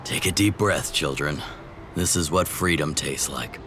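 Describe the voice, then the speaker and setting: An actor playing a weary older man in a video game, calm, reflective and grave in his measured delivery. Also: very deep voice, noisy background. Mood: reflective, relieved.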